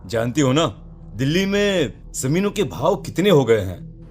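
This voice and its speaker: A man's voice: fairly high pitch, very animated, measured pace, soft background music. A man voicing a reassuring, dismissive young newlywed husband in a horror cartoon. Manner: reassuring, brushing worries aside. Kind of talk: Hindi horror cartoon dialogue.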